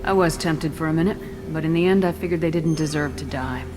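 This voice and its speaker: Casting: actress as female game soldier in command, lower register, direct, self-assured, over soundtrack music. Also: fast, natural voice. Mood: measured. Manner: firm, direct. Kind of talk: video game character dialogue.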